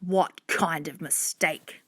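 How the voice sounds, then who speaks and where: A woman voicing a furious, menacing factory manager, a man with a gruff, curt, threatening way of talking, in a storybook. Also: low voice, fairly even intonation, takes it slowly, no echo, clean studio sound, voice pushed down, exaggerated voice. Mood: gruff, scornful. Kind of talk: dramatised storybook narration.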